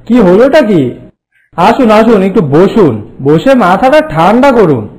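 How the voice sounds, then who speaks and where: A man voicing a polite, sly village trickster in a cartoon folk tale, with a coaxing, smooth-talking way. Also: sits high, big pitch swings, moderate pace, darkish tone, poor audio.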